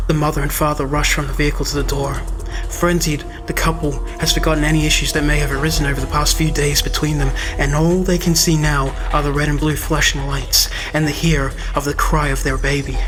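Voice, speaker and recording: A man narrating a scripted horror story in a measured, dramatic storytelling manner. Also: fairly high pitch. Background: loud music.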